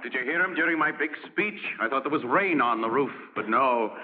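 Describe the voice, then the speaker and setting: Vintage radio sound, muffled and reverberant. A man acts a middle-aged frontier showman and father, hopeful and persuasive, with homely turns of speech and very lively intonation. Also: mid-range voice, fairly quick, a little hiss or hum, natural voice. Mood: proud, jaunty. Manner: folksy, persuasive. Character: hopeful, folksy father running a travelling theater troupe. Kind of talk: 1940s radio acting. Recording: muffled old radio broadcast, reverberant hall.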